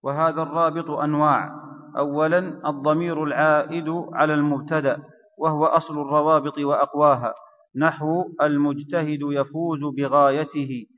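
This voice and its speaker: A man reading a grammar text aloud for his teacher in a steady, measured recitation. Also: a little high. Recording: distant mic in a reverberant hall, dull lo-fi sound.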